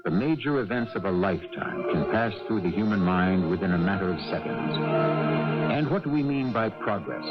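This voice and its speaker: A man hosting a 1980s radio drama, reading scripted narration in a measured, reflective, theatrical way. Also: fairly low pitch. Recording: muffled old radio broadcast.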